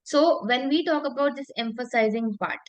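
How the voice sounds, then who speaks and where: A woman's voice: high voice, normal speed, low-quality recording. A woman coaching public speaking in an explanatory way, emphatically repeating and stressing key phrases with spoken examples.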